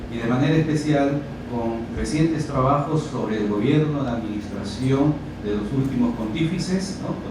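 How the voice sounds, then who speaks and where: A man opens a university event with formal, ceremonious introductions and a few fillers. His voice is mid-pitched, heard from a distance in a very echoey hall with background noise. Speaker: event moderator.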